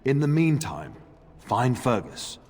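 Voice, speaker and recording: A man playing a middle-aged nobleman in a fantasy game, a firm and affectionate father who speaks with measured authority. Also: mid-range voice, very animated, a little mellow. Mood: firm, fatherly. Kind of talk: fantasy video game acting. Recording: reverberant stone hall, music underneath.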